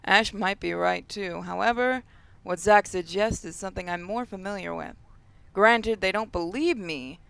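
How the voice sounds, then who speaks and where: Female gaming host, casual commentary and read-aloud narration, smooth voice with heavy fry. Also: mid-range voice, normal speed, a bit bright, no echo.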